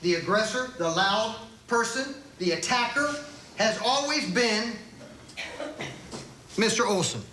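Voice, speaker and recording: A woman making an emphatic, deliberate courtroom argument as a lawyer. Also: a little high, animated, sharp tone. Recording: echoey courtroom, distant mic, faint noise.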